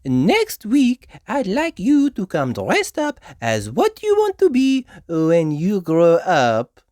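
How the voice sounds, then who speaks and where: A woman's voice: medium pitch, huge pitch swings, darkish tone, no room sound, studio quality, voice pushed up. A woman voicing an encouraging female gazelle teacher in a children's storybook, drawing out her words. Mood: encouraging, instructive. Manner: drawn-out, encouraging teacher talk.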